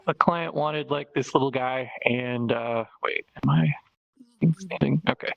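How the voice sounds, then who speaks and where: A man's voice: medium pitch, a little slow, vocal fry. A man presenting an avatar he made, in a casual, hesitant way with many fillers and restarts. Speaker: avatar creator presenting his work. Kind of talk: casual show-and-tell presentation. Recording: dull lo-fi voice chat audio.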